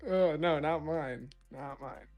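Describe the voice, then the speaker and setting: A playful man co-hosts a casual live stream. He banters and teases his partner in a fairly high voice, and he laughs easily. Faint music plays in the background. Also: takes it slowly, darkish tone. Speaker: stream co-host.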